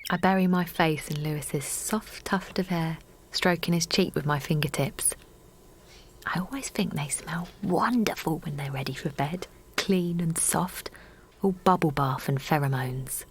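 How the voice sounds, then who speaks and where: A woman's voice: low voice, a little slow, slight background noise. An actress playing a tender young mother, delivering a contemplative first-person monologue in an audio drama. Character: tender young mother absorbed in home and children.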